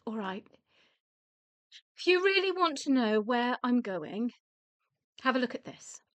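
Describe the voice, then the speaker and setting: British widow, exasperated, dry manner, high pitch, expressive intonation, measured pace, radio play. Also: female voice, no echo. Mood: weary, apologetic. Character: exasperated, matter-of-fact widow nagged by her dead husband.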